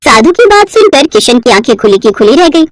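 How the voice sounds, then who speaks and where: High, animated woman storyteller. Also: brisk, dry recording. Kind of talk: scripted expressive children's story narration.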